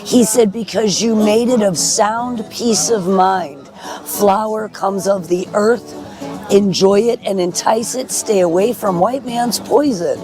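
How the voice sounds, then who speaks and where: A middle-aged woman with a hoarse voice, giving frank, earnest personal testimony as an interview guest at a festival. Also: mid-range voice, takes it slowly. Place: at a festival in a park. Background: music.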